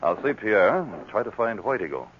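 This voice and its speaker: An actor playing a courteous, dutiful police sergeant, polite and measured, in 1940s radio drama style. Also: male voice, fairly low pitch, big pitch swings, a little hiss or hum. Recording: dull 1940s radio recording.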